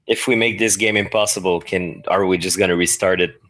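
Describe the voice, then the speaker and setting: A man playing a story game as a podcast guest, asking questions and thinking out loud in casual talk. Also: low voice, fairly even intonation, fairly quick.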